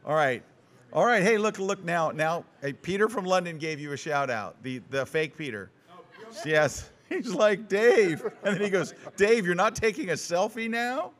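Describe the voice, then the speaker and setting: A man's voice: high-pitched, lively intonation, a bit bright. Playful joking male show host. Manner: teasing, playful, quick asides. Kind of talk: joking live show banter. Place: live event with audience.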